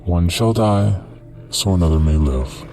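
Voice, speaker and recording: A sly monster from a horror audio drama, acted by a man, speaks in a low voice over music. It is polite and coaxing, offering deadly bargains with the deliberate patter of a smooth salesman. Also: animated, warm tone. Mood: calmly menacing.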